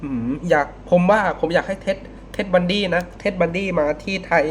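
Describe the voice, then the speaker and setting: A man giving casual, unscripted reaction commentary as a video host, halting and repeating words as he thinks. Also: high voice, a little hiss or hum.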